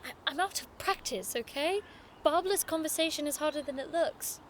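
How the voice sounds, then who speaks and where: An actress playing a prim, sharp-tongued young noblewoman in an audio drama, formal, arch and sarcastic. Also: sits high, moderate pace, a little hiss or hum, lightly acted. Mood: exasperated.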